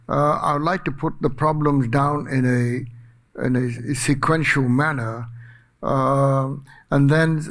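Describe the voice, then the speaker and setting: An older man speaking on a policy panel, soft-spoken and hesitant, with frequent fillers. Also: mid-range voice. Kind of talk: panel talk.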